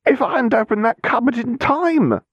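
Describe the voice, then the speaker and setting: A man's voice: very high-pitched, sing-song, moderate pace, slightly rough, dry recording, highs cut off, voice pushed up, exaggerated voice. A man voicing an anxious, spiteful middle-aged aunt, fretful and urgent, in a storybook reading. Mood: alarmed pleading.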